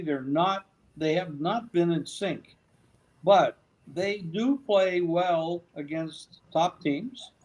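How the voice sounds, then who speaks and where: A man's voice: a little high, a little mellow, a little hiss or hum, poor audio. A man giving betting analysis in a hesitant way, with restarts and a laugh as he talks. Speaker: betting handicapper.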